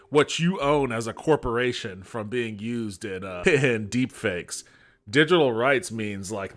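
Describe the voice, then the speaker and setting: A man's voice: mid-range voice, fairly bright tone. A man giving casual, critical commentary as a podcast host, with a skeptical, sarcastic way of talking. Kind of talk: casual critical commentary, reading web copy aloud. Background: background music.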